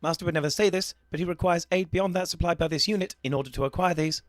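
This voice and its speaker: A man's voice: fairly high pitch, fast talker, no echo, studio quality, close to an everyday voice. A man voicing a dutiful, servile robot companion, making a formal, stilted and polite scripted appeal to listeners. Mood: formal, deferential.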